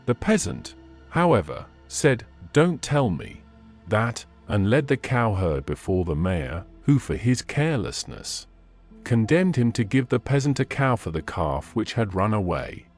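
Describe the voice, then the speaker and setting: Male audiobook narrator, fairy tale reading, measured phrasing, animated intonation, slightly low pitch. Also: faint music behind.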